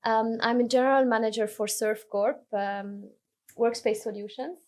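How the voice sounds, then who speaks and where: A woman from Lebanon, a podcast guest telling her career story in a relaxed way, often restarting her sentences. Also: on the high side, normal speed. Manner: relaxed, self-correcting storyteller. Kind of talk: casual interview conversation.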